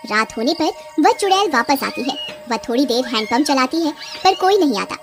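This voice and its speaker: High-pitched woman storyteller over music. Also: big pitch swings, normal speed. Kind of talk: scripted story narration.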